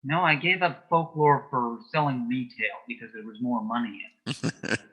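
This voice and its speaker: A man with a dry, deadpan sense of humour, telling anecdotes and jokes as an interview guest, in a husky voice. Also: medium pitch, moderate pace, dull audio. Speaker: interview guest, author and former folklorist.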